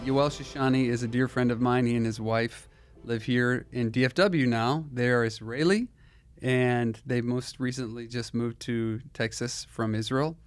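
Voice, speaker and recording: A man hosting a conversational interview, asking measured questions and giving short back-channel replies. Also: mid-range voice, clear, resonant tone, no room sound. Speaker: interview host. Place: Texas.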